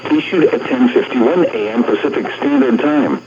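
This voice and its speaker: A man reading a scripted automated weather bulletin in a formal, measured, synthetic-sounding way, as a radio announcer. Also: medium pitch, lively intonation. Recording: dull lo-fi radio broadcast with static noise.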